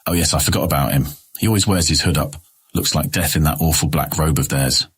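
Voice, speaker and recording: An actor playing a scheming, condescending noble brother who mocks his younger sibling with cold sarcasm in a husky voice. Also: extremely low pitch, fast, heavily acted. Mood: scornful. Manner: smug, mocking, coldly sarcastic. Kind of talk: audio drama acting.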